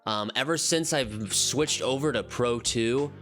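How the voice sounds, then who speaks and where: A man's voice: mid-range voice, unhurried, a bit bright, faint music behind. A man hosting a gaming channel, casually walking through a build. He sounds nasal from a cold and rambles with frequent ums and restarts. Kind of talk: casual game commentary walkthrough.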